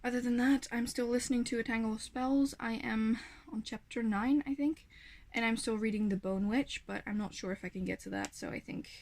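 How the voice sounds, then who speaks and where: A woman's voice: on the high side, fairly quick, a little mellow. A woman, giving a gushing, chatty reading update at home. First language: Dutch. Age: 20s. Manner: chatty, gushing, self-correcting. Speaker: book vlogger. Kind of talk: casual reading vlog update.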